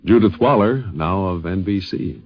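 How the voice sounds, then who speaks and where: A man's voice: deep voice, lively intonation, normal speed. A man narrating a scripted 1950s radio documentary and introducing its speakers. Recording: muffled, band-limited 1950s radio recording.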